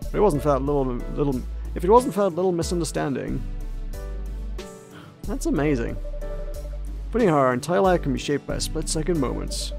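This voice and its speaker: A man putting on a cheery, talkative old shopkeeper with a lifted, bright-sounding voice and a bit of gravel in it. He chats in a folksy way, friendly and full of homespun sayings, and faint music plays underneath. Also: on the high side, animated, a little fast, long pauses. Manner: folksy and upbeat. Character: cheerful, chatty, sappy older male shopkeeper.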